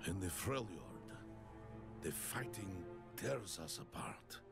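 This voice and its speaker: A man voicing a big, gentle warrior protector in a cinematic game trailer, speaking in a solemn, measured way. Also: sits low, expressive, gravelly, heavily acted. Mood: solemn, tender. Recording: game trailer audio with loud music.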